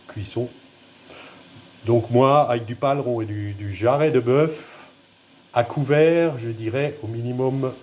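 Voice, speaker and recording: A man's voice: medium pitch, a little mellow, slight background noise. A man with a noticeable regional French accent walking through a home recipe, filming on a handheld phone in his kitchen and talking in a hesitant, self-deprecating way. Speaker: home cook. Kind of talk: casual cooking demonstration.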